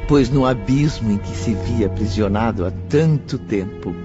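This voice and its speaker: A man's voice: mid-range voice, normal speed. A man with a Brazilian accent gives solemn, literary narration for a radio drama. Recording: muffled radio production, music underneath.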